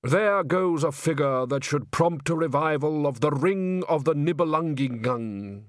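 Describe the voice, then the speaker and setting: A man acts a sad old male opera director in a comic audiobook. He lingers mournfully over his words as he looks back. The voice sits raised, with expressive swells. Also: on the high side, dry recording, studio quality, voice pushed up. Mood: wistful, nostalgic. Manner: slow, mournful reminiscing.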